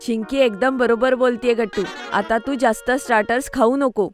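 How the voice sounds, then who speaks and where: A woman playing a warm, reassuring mother in a children's cartoon, speaking in an encouraging way. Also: a little high, fairly even intonation, moderate pace, natural voice. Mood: warm, approving. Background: background music.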